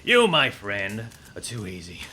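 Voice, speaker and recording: An actor playing a rough, mocking guide with a slow, cackling drawl in a radio drama. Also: male voice, fairly low pitch, huge pitch swings, pushed and bright, slight background noise, full character voice. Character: rough, mocking male wilderness guide who teases people.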